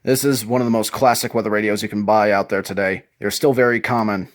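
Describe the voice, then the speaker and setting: A man's voice: lowish voice, steady tone, fast talker, slight background noise. A man presenting his hobby collection in a casual show-and-tell style, talking from home.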